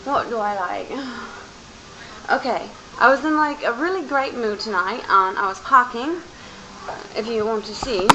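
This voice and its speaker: Young woman rambling at her home camera, kinda high voice, noisy background. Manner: chatty, rambling, loose and informal. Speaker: vlogger. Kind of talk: casual chat to camera. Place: home room among moving boxes.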